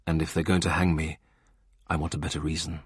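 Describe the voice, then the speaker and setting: A man reading a novel aloud, voicing a battered young man in a low, grim, measured way. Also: level tone, breathy edge, no room sound, studio quality, exaggerated voice.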